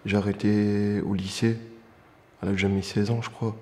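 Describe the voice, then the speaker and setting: A man's voice: fairly low pitch, level tone, normal speed, a little mellow. A man in his twenties from Guadeloupe, speaking matter-of-factly about leaving school in a documentary interview. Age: 20s. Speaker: trainee interviewee. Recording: reverberant room, faint noise.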